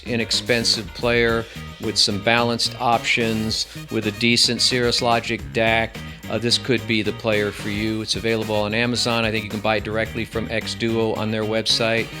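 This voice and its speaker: A man's voice: fairly low pitch, completely flat delivery, normal speed, music in the background. A man giving a casual, rambling product review as a channel host, with wry asides.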